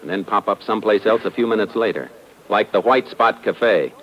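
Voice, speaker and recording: An American man acting a steady, shrewd, folksy Texas Ranger in a 1950s radio drama that he also narrates. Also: on the low side, fairly quick, close to an everyday voice. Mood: puzzled, deliberate. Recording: muffled, noisy old-time radio recording.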